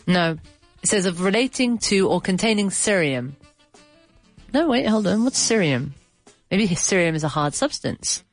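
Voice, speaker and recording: A woman's voice: mid-range voice, lively intonation, normal speed, soft background music. A woman hosting a casual bilingual chat, explaining English words, chatty and laughing, with a runny nose. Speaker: podcast host teaching English.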